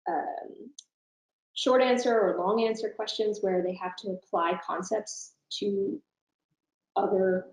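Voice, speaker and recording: A woman's voice: mid-range voice, expressive, slow pace, gravelly. A woman professor speaking as an interview guest, giving thoughtful, informal answers in a conversational way and often rewording as she goes. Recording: roomy, echoing room sound.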